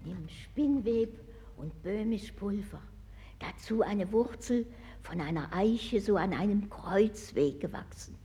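A superstitious old woman in a 1960s German radio drama. Her voice is dark and extremely breathy, often near a hoarse whisper. She draws words out slowly, like a fearful incantation. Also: mid-range voice, animated, heavily acted. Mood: secretive, incantatory. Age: older. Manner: slow, incantatory, stubborn. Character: frightened superstitious old woman muttering folk charms. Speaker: actress in a radio play.

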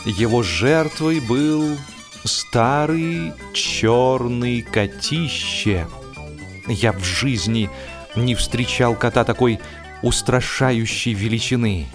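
Slow-paced male narrator of comic fiction. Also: mid-range voice, over music. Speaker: audiobook narrator. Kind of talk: expressive humorous literary narration.

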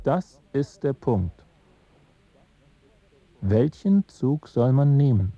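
An actor playing a musing male film enthusiast who thinks aloud in short, halting phrases in a radio drama. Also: medium pitch, sing-song, no room sound, lo-fi sound, heavily acted. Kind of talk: reflective radio drama monologue.

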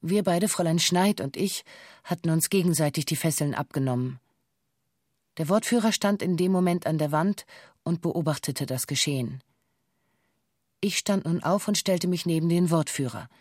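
An actress playing a former bank hostage who gives a formal, precise witness statement in a sober manner for a documentary radio drama. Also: female voice, deep voice, moderate pace, darkish tone, no echo, studio quality. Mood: sober recounting. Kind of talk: documentary radio drama, reading a police testimony.

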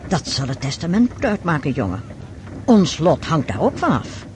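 Fretful impoverished mother, scheming, radio drama, clearly noisy recording. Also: low voice, big pitch swings, normal speed. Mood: anxious. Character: anxious, scheming impoverished mother of a grown son.

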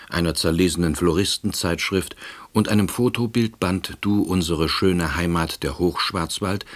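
A timid, melancholy man telling his own story in a radio play. His voice sits very low and has a husky grain. He narrates with wry understatement, like a hesitant novice salesman looking on at his own life. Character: timid, wistful East Berlin man turned novice salesman. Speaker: narrator and lead character.

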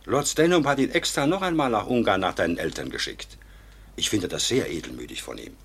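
A fatherly older man of high office in a radio play. His voice is low and husky, and he speaks with patient, measured reassurance, gently admonishing. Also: expressive, exaggerated voice. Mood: thoughtful. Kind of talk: German radio drama acting.

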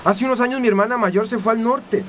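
A woman's voice: fairly high pitch, steady tone, fast, slight background noise, natural voice. A woman playing a confident, boastful young factory worker in a radio soap opera.

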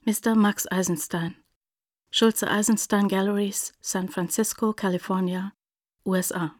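An actress playing a formal announcer in a radio play, reading out letterheads, dates and addresses in a clipped, matter-of-fact way. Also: female voice, medium pitch, fairly even intonation, unhurried, darkish tone, no echo, clean studio sound, lightly acted. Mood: neutral, formal.

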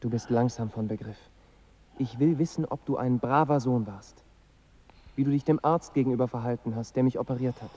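A man's voice: mid-range voice, brisk, mellow, slight background noise, natural voice. An actor playing a dead father who appears to his son in a dream, probing and consoling, in a radio drama. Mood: insistent. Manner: insistent, probing, then consoling. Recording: dull sound with music behind.

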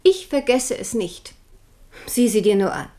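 A woman's voice: medium pitch, expressive, measured pace, dark tone, natural voice. An actress playing a proud, passionate Protestant queen and mother in a 1970s radio drama, indignant and fervent.

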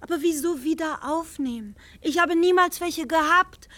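A young mistress in a literary radio play, high-pitched and defensive. She insists on her innocence in a close, dry studio sound. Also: moderate pace, sharp tone, no echo. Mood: defensive, protesting. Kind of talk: German literary radio drama.

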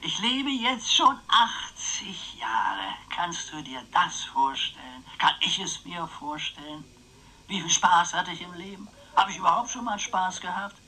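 Theatrical old uncle, piercingly bright voice. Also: male voice, high voice, sing-song, slight background noise, exaggerated voice, low-quality recording. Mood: playful, boastful. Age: 80s. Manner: theatrical, chatty, drawn-out phrases. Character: eccentric, playful, theatrical old uncle, scheming and stubborn. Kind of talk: German radio crime drama acting.

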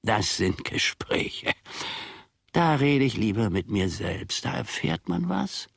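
An older male actor playing a grumbling, self-important old servant in a German radio play, musing deliberately to himself with a gravelly, husky voice, often sinking to a murmur. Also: fairly low pitch, sing-song, a bit bright, dry recording, studio quality, heavily acted. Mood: sardonic.

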